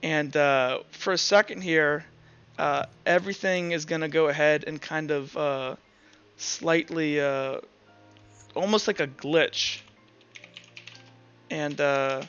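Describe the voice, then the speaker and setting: A man narrating a tabletop roleplaying game as game master, improvising haltingly as he goes. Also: a little high, fairly bright tone, clear, resonant tone. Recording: dull lo-fi audio under music.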